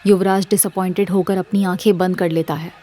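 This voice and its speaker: A woman's voice: lowish voice, flat delivery, fairly quick, dark tone, faint music behind. A woman narrating a scripted audio drama as its storyteller.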